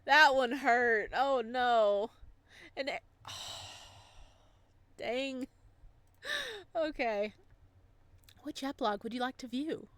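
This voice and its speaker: A woman hosting a let's play, casually commenting and reacting with expressive, emotional exclamations. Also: a little high, takes it slowly, pauses often, no echo. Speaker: let's-play host reading a visual novel aloud.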